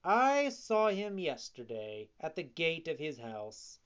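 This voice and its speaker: A narrator voicing a sly, scornful fox swindler in a children's story, smooth-talking and insinuating. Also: medium pitch, huge pitch swings, slow, a bit bright, muffled recording, full character voice. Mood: sly, friendly.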